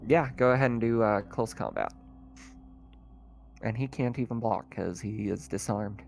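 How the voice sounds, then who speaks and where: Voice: mid-range voice, normal speed, gravelly, a little mellow, a little hiss or hum. A game master explaining rules and outcomes conversationally while running a tabletop roleplaying game.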